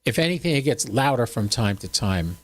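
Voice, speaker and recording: A man's voice: mid-range voice, big pitch swings, a little fast. A man in his seventies hosting a livestream, chatting casually with viewers in a folksy, joking, rambling way. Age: 70s. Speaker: host of a ham radio livestream.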